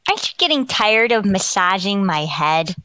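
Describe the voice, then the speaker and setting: A woman's voice: medium pitch, sing-song, moderate pace, pushed and bright, dry recording. A woman playing a polite, precocious eleven-year-old boy in a radio comedy, speaking with proper, articulate diction. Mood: eager, curious.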